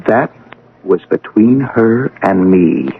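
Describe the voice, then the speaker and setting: An actor playing a bitter, proud scientist son in an old-time radio drama, with a theatrical, emphatic delivery. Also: male voice, lowish voice, animated, takes it slowly, narrow, tinny audio, slight background noise. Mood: bitter, defiant. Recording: band-limited radio drama recording.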